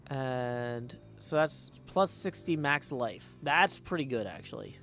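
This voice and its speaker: A man's voice: mid-range voice, animated, slow pace, a bit bright, faint music behind. A man doing casual live game commentary, thinking aloud and reading game text out as he plays. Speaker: game streamer practicing voice acting.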